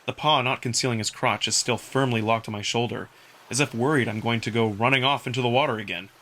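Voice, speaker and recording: A man narrating a first-person visual novel story in an expressive, dramatic style. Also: medium pitch, quick pace, a little hiss or hum.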